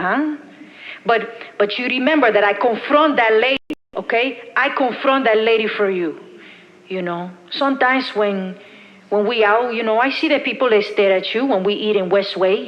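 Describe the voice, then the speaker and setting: A woman voicing a protective middle-aged Puerto Rican mother with a Puerto Rican accent, leaving a halting, repetitive voicemail. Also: mid-range voice, big-room sound, dull audio, lightly acted. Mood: tender, worried. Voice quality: halting, repeating herself, broken English. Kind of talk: voicemail monologue in a stage show.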